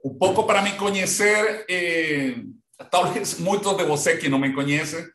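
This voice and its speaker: Trainer, male, 40s, Venezuelan accent, halting delivery with repeats, a touch high, roomy echo. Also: a bit bright. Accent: Venezuelan Spanish. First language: Spanish. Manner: halting, repeats words, mixes in Spanish. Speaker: real estate trainer. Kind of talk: online training session. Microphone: laptop mic on a video call.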